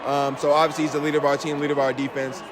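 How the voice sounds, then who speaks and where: A man's voice: a little high, flat delivery, rapid-fire, crowd noise, background noise. A man, a football player, giving a casual interview answer. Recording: crowded interview setting.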